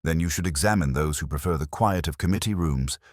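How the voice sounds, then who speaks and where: A man voicing a belligerent, pompous church organist in an audiobook mystery, sharp and disdainful in manner. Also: rumbling low, a little fast, no echo, clean studio sound. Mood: belligerent, indignant. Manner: sharp, snapping, disdainful, theatrical.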